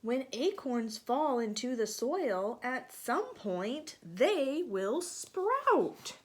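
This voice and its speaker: A woman reading a picture book aloud to young children, gently asking them questions and sometimes dropping to a soft whisper. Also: high voice, very animated, drawn-out words.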